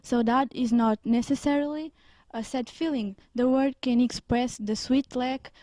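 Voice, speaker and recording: Calm Portuguese student, warm voice. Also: female voice, a little high, a little slow, no echo. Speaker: student interviewee. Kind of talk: reading prepared answers.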